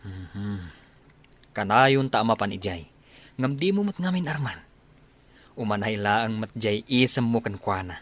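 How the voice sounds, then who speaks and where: A man's voice: lowish voice, animated, measured pace, pauses often. A man playing a teasing young soldier who gives his buddy love advice, with an Ilocano accent, in a comedy radio drama. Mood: advising.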